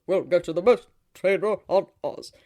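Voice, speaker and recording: A woman's voice: on the low side, lively intonation, normal speed, mellow. A woman voicing a doting father in a story, eager and encouraging. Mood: jubilant.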